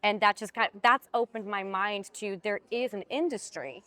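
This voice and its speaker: Woman, young adult, Swiss accent, interview guest, chatty, animated storyteller. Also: mid-range voice, moderate pace. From Switzerland. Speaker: actress, podcast guest. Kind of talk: casual interview talk.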